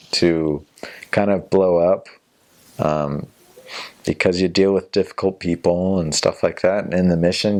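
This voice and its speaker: A man's voice: low voice, darkish tone. A young man, a returned missionary, telling stories from his mission in a casual interview, rambling a little with fillers and restarts. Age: young adult.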